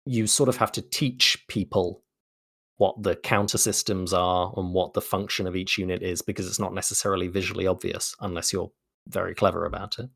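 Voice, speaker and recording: A man's voice: deep voice, fairly even intonation, fairly quick, vocal fry, a bit bright, no room sound, studio quality. A man speaking as an interview guest, articulate and explanatory in a thoughtful conversational style. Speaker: interview guest, game narrative designer.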